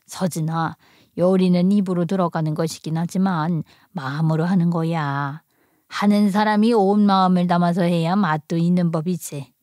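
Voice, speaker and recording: A woman voicing a gentle, encouraging elderly country grandmother in a soothing, tender, unhurried way. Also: fairly low pitch, fairly even intonation, no echo, studio quality, natural voice. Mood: gentle, earnest.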